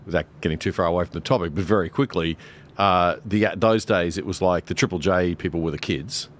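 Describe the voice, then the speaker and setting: A man's voice: low voice, brisk, slight background noise. A middle-aged Australian man, a broadcaster on a discussion panel, talking emphatically with many fillers and restarts.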